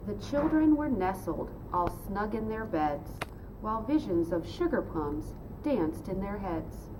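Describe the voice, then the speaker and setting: A woman reading a holiday poem aloud in a steady, even way. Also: mid-range voice, a little slow, background noise.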